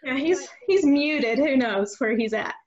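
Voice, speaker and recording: A woman's voice: high voice, lively intonation, a little slow. A woman chatting casually as host of a group video call after a table read. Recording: dull lo-fi video call audio.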